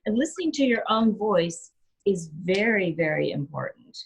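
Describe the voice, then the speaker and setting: A woman's voice: mid-range voice, big pitch swings, moderate pace, gravelly, slightly rough, rough recording. A middle-aged woman, a yoga teacher and singer, giving earnest, encouraging advice in an interview and repeating her key phrases.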